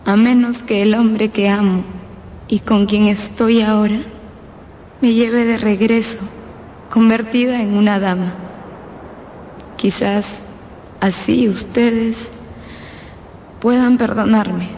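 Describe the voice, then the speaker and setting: A tender young woman full of remorse in a radio drama. Her dark, soft voice is medium-pitched and measured, with faint ambient noise behind. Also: steady tone. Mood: sorrowful, tender.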